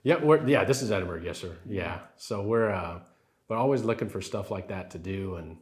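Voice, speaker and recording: A man's voice: sits low, lively intonation, normal speed. A man, answering interview questions in an affable way, often saying "yes sir" and using fillers. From Rio Grande Valley, Texas. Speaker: title company president.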